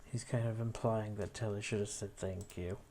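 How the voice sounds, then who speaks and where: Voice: medium pitch, fairly even intonation, moderate pace, mellow, a little hiss or hum. A video editor giving casual screen-recording commentary, musing to themselves while working. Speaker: video editor narrating own editing session.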